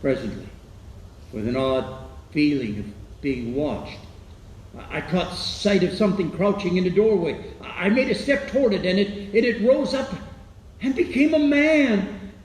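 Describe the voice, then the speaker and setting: An actor playing a weary, frightened survivor of an alien invasion in a halting dramatic radio monologue. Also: male voice, sits high, expressive, roomy sound, poor audio. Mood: shaken. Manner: halting, hesitant delivery with stammered repeats.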